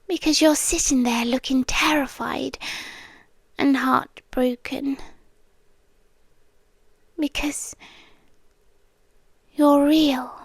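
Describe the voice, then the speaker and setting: A woman voicing a lonely, eerie trapped woman who is half a monster. She speaks slowly and softly, often in a breathy whisper, with coaxing intimacy. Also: high-pitched, steady tone, no echo, full character voice. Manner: slow, soft and intimate, coaxing. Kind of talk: second-person audio drama.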